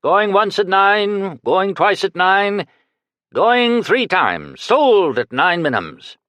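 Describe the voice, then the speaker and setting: A man voicing a nervous, fawning auctioneer who pleads and chants bids in a higher voice. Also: wildly swooping pitch, normal speed, a bit bright, no room sound. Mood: chanting. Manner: pleading, wheedling, sing-song chanting.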